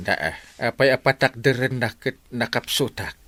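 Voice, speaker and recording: A man's voice: mid-range voice, normal speed, fairly bright tone, no echo, close to an everyday voice. A man playing a lazy village captain who feigns illness, whining and stammering theatrically with an Ilocano accent in a comic radio drama. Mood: whining, reluctant.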